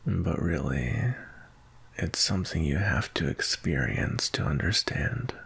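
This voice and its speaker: A man playing a seductive, gentle male vampire in an intimate ASMR roleplay, speaking in a breathy, husky half-whisper with a soothing, sensual manner. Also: rumbling low, expressive, heavily acted. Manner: slow, soothing, sensual, drawn-out.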